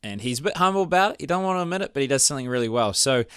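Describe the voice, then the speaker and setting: A man's voice: mid-range voice, animated, very fast, fairly bright tone, clean tone, dry recording, clean studio sound. A man hosting a podcast, chatting casually, rambling loosely and laughing often. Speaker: podcast host.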